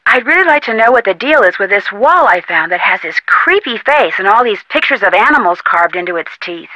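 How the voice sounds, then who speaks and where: A woman's voice: medium pitch, expressive, a little fast, sharp tone, dry recording, lo-fi sound. A young woman with an American accent playing a friendly, inquisitive amateur detective in an adventure game, chatty and polite. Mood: casual, friendly. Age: young adult. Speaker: video game character voice actor. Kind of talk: scripted adventure game dialogue, phone-call delivery.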